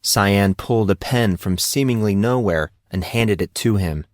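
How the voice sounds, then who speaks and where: A man's voice: on the low side, measured pace, a little mellow, no echo, studio quality. A man narrating a scripted story as an audiobook narrator.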